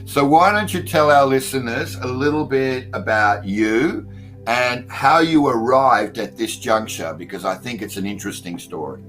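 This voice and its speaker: A man's voice: medium pitch, normal speed, a bit bright, soft background music, rough recording. A man hosting an online call-in radio show, talking loosely with many fillers and greeting listeners by name. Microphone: computer mic at a desktop.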